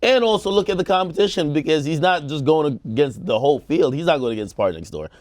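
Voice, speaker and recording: A man's voice: fairly high pitch, animated, quick pace, no echo. Casual male talk show co-host, dry close sound. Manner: hedging, qualifies his opinions. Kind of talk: casual music discussion.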